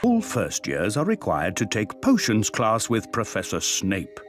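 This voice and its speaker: A man playing the storytelling narrator of a wizard school video game, reading scripted narration in a husky voice. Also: on the low side, wildly swooping pitch, a little slow. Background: faint game music.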